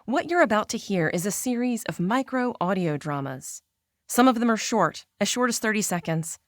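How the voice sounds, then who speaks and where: A woman's voice: mid-range voice, expressive, normal speed, no room sound, clean studio sound. A woman hosting an audio drama podcast, reading a scripted introduction. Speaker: host and audio drama creator.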